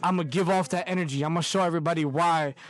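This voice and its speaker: High-voiced young man, laid-back guest. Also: steady tone, quick pace. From El Salvador, raised in New Jersey. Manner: laid-back, repeats "you know what I'm saying". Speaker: guest music artist. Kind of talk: casual podcast interview.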